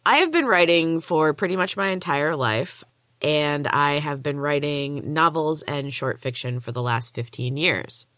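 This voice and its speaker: Woman storyteller, fairly low voice, very dry sound. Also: measured pace, fairly bright tone, no echo. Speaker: podcast host and author. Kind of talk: first-person fiction storytelling, read aloud.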